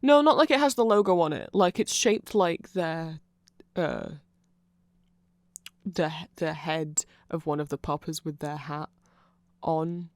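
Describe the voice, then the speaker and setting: A performer voicing a nerdy, awkward, eager vampire friend in a rambling, hesitant ASMR roleplay, halting and self-conscious. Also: medium pitch, dry recording, close to an everyday voice. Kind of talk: rambling ASMR roleplay, explaining fan lore to a listener.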